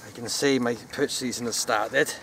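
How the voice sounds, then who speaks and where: A man's voice: mid-range voice, steady tone, normal speed, bright, forceful tone. A man, chatting casually as an angler outdoors, recorded on a camera. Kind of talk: casual chat. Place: grassy bank of a fishing lake, outdoors. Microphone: camera a few steps away. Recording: distant outdoor camera audio, faint noise.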